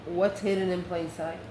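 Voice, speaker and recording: A woman giving a casual, mystical tarot reading, speaking directly and affectionately to the listener as host. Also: on the low side. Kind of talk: casual spoken tarot card reading to camera. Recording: echoey room, clearly noisy background.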